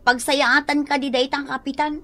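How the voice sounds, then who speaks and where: A woman's voice: high voice, level tone, moderate pace, bright, forceful tone, soft background music. A woman with a Filipino accent playing an eager, easily flustered village secretary in a radio drama.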